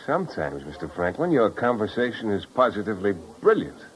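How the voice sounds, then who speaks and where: A man's voice: lowish voice, sing-song, brisk, crowd noise. An actor playing a cocky, sardonic private detective in a 1950s radio drama, wisecracking and narrating his own case. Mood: sardonic narration. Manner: wry, sardonic. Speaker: lead actor and first-person narrator. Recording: muffled old radio recording with music.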